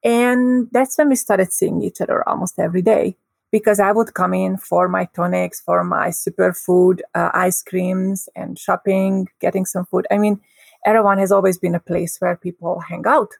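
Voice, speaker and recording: Gravel-voiced woman, expressive storyteller. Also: mid-range voice, moderate pace, no echo. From Hungary. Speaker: guest. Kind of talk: casual storytelling.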